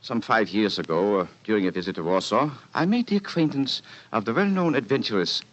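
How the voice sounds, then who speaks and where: An actor playing a proud, secretive Bohemian king, speaking in a stately, halting, formal manner in a 1940s radio drama. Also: lowish voice, lively intonation, close to an everyday voice. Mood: uneasy, regal.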